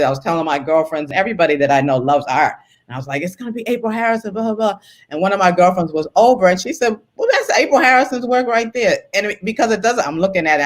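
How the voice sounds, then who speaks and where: A woman, a children's book author, who chats casually as a guest and reads storybooks aloud. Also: low voice. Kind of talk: casual interview talk, then storybook reading.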